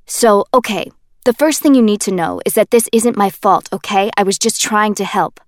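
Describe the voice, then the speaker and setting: A woman reading an audiobook voices a brash, joking young man who gets loud, crude and exasperated. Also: mid-range voice, normal speed, no room sound, studio quality, close to an everyday voice. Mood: indignant. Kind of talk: audiobook character voice.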